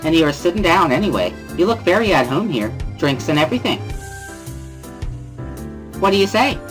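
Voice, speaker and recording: A man as a high, bubbly, swooping schoolgirl. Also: big pitch swings, moderate pace, a bit bright, soft background music. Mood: bubbly, teasing. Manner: exuberant, talkative, boisterous laughing. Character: bubbly, loud, laughing teenage schoolgirl. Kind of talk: amateur dramatic reading of a visual novel.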